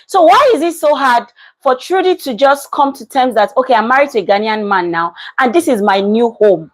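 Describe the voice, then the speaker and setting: A woman talks through a casual reaction commentary, leaning hard on her points and going back to them. Her voice sits on the higher side. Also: moderate pace. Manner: animated, emphatic, repeats herself. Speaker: commentary vlogger.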